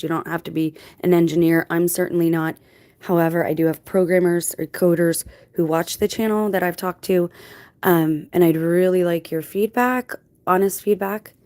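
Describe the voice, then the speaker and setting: Lower-voiced woman rambling to viewers. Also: warm tone. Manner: reflective, digressive, explanatory. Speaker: video channel host. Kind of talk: casual rambling monologue to viewers.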